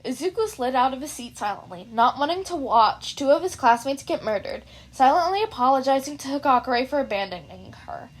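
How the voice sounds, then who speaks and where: A woman's voice: sits high, expressive, a little fast, hardly any pauses. A woman narrating fan fiction expressively, with casual asides.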